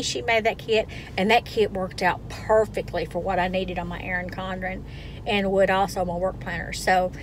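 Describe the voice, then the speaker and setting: A woman, chatting to viewers in a casual vlog and calling them "y'all". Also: on the high side. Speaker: vlogger. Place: car interior, then at home. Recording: car noise behind voice.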